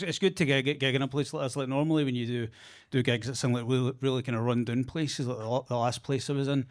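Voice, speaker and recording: A Scottish man doing a stand-up set in a basement comedy club, halting and repeating words, with a deadpan delivery on a handheld mic. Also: medium pitch.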